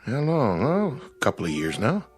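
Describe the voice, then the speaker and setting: A man's voice: medium pitch, wildly swooping pitch, normal speed, a little mellow, slightly rough. An actor playing a friendly, puzzled, plain-spoken man who runs a roadside diner, in a radio drama with music behind. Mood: chatty. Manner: folksy, easygoing, plain-spoken.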